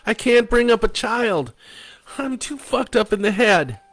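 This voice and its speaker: A man's voice: animated, unhurried, dry recording. A man voicing a distraught young wife who is sobbing and pleading. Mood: hysterical. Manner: sobbing, high-strung pleading.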